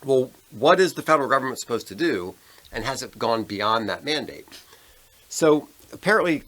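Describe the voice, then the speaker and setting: A man's voice: mid-range voice, lively intonation, normal speed, fairly bright tone, rough recording. An American man co-hosting a podcast, explaining and discussing politics in a casual, conversational way. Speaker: podcast co-host.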